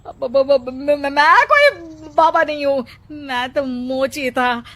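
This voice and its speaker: A woman's voice: high voice, expressive, unhurried, fairly bright tone, pitched above the performer's own voice. Stammering, nervous fake holy man played by a woman. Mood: frightened pleading. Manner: stammering, pleading. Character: nervous male cobbler posing as a holy man. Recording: background music under the voice.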